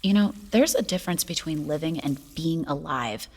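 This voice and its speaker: A woman's voice: low voice, lively intonation, normal speed. A woman playing a troubled, inquisitive young noblewoman and grieving daughter in an audio drama, speaking pensively. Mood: brooding.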